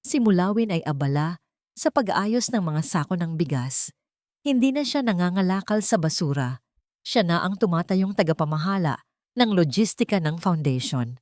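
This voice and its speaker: A woman narrating a dramatic audio story in a steady storytelling read. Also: on the low side, no echo, studio quality.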